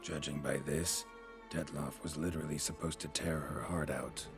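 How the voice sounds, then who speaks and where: An actor playing a gruff, middle-aged monster hunter in a video game, with a clipped, blunt, deadpan delivery. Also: male voice, a bass voice, normal speed. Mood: grim, reasoning. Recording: music playing behind.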